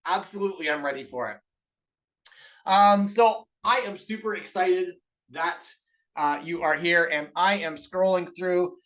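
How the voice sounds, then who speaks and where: A man's voice: high voice, unhurried, a bit bright, far from the mic, low-quality recording. A man with a Canadian accent hosting a live online masterclass in an upbeat, chatty way, with lots of filler uhs. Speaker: webinar host and business co-owner. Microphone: video call mic.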